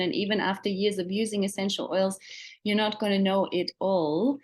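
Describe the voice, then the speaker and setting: A woman from Germany, a native German speaker with a slight Australian accent, presenting a webinar in an encouraging, explanatory way and addressing the listener directly. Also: medium pitch. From Germany, born in Austria. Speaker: webinar presenter, wellness seller.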